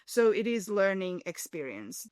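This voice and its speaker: A printmaking professor from Slovakia who speaks English with a Slovak accent and non-native grammar, talking earnestly in an interview. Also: female voice, medium pitch, very animated, measured pace, a little mellow, no echo. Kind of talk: interview and classroom talk.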